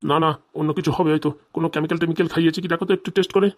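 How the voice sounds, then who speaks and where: Someone voicing a bossy, rapid-fire cartoon policeman. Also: medium pitch, fairly even intonation, lightly acted. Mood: questioning. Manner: bossy, interrogating, blunt. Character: stern, threatening male village police officer. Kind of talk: comedy cartoon dialogue.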